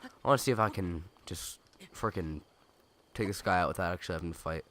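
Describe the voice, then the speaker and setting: A young man with a cold, his nose stuffed and his voice raspy, rambling chattily and correcting himself as he comments on a video game. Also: sits low, a little fast, fairly bright tone, a little hiss or hum. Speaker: let's play gamer. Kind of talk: casual gameplay commentary.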